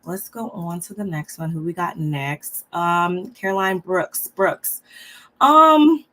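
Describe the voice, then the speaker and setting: Fields: Sex: woman. Role: recap co-host. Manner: relaxed, halting. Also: on the low side, rough recording. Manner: relaxed, hesitant, many "um"s and "like"s. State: a little under the weather. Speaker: co-host of a reality TV recap show. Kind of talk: casual chat reviewing looks.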